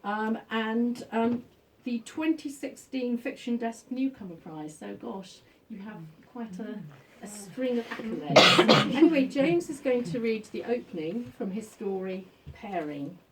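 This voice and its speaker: A woman informally introducing an author at a live event, halting as she searches for words. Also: a little high. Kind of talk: informal spoken introduction to an audience. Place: bookshop book launch event. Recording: distant mic, faint room noise.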